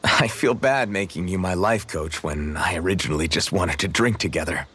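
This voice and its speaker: A man's voice: sits low, normal speed, fairly bright tone, slightly rough. A man playing a modest, good-natured young swordsman with a casual, unpretentious way of talking, in video game dialogue. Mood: musing, friendly. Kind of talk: English-language video game character dialogue.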